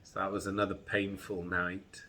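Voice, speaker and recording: A middle-aged man with a congested head and groggy chest, slowly and haltingly describing his illness in a personal vlog. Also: low voice, little pitch movement, pushed and bright, a little hiss or hum. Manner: slow, halting, tired recounting. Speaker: vlogger.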